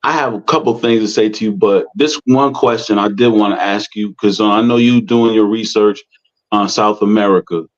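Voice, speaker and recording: Low-voiced man on dull audio. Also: steady tone, normal speed. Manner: hesitant, searching for words. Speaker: discussion participant.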